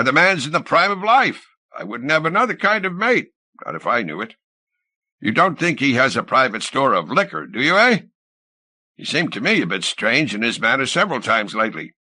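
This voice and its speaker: A man voicing a sour, suspicious middle-aged ship's captain in an audiobook, with a gruff, blustering manner of questioning. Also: medium pitch, big pitch swings, normal speed, pushed and bright, no echo, pitched above the performer's own voice, full character voice. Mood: insistent.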